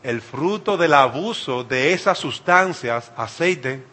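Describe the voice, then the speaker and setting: A man's voice: a little high, lively intonation, takes it slowly, sharp tone. A man from the Dominican Republic, speaking with a Dominican Spanish accent, preaching an emphatic sermon and repeating phrases for effect.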